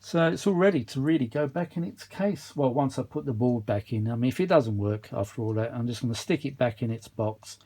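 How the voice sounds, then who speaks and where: A man with a mid-pitched voice chats casually as he works. He rambles without a script, grumbling in a mildly weary way, like a hobbyist repair vlogger talking through a job. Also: brisk, few pauses, mellow. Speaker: vintage audio repair vlogger. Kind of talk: casual unscripted workshop chat.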